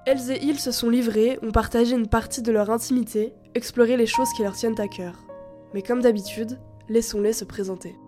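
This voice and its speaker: A woman hosting the show, reading a measured scripted introduction. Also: fairly high pitch, fairly even intonation, music in the background. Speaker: host. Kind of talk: scripted narration.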